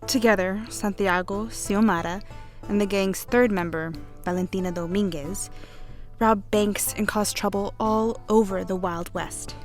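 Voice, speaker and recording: An actress playing a songstress in a western radio play, telling her love story with measured, dramatic phrasing. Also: mid-range voice, steady tone, a little mellow, faint music behind, natural voice. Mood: narrating, fond. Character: Aleta, beautiful songstress and devoted sweetheart narrating her western love story. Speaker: narrator and character. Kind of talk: western radio play narration and acted dialogue.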